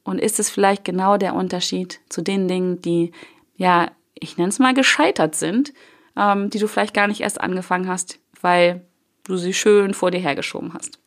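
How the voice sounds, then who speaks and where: A woman's voice: lowish voice, moderate pace. A young woman with a cold, hosting a solo motivational podcast in a casual coaching tone, with loose fillers and the odd swear word. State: has a cold. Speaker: podcast host.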